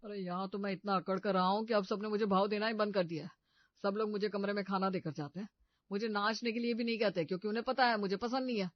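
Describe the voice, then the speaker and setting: A woman's voice: medium pitch, steady tone, quick pace, no echo, lo-fi sound, lightly acted. A woman voicing a whiny, hesitant young son-in-law in an exaggerated Hindi comedy cartoon. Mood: whining, frustrated.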